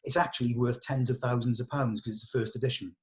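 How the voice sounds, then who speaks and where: A man leading a script table read, explaining notes to actors and often pausing mid-thought. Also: medium pitch, steady tone.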